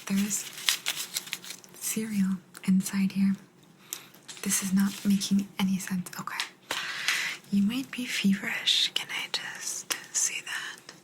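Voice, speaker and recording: A woman playing a gentle, patient alien abductor in a soft, breathy ASMR whisper, confiding closely in the listener. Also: mid-range voice, level tone, slow, long pauses, lightly acted, rough recording. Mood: soft, coaxing. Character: gentle, apologetic female alien abductor explaining a simulation.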